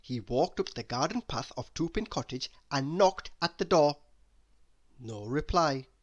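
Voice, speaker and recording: A woman reading a children's story aloud as an expressive narrator. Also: sits low, unhurried, muffled recording. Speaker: story reader.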